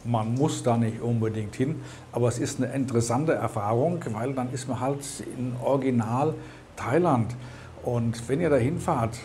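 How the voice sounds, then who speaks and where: A man's voice: mid-range voice, mellow, a little hiss or hum. A man in his sixties from Germany chatting and explaining as he hosts a casual travel vlog. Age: 60s. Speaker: vlog host.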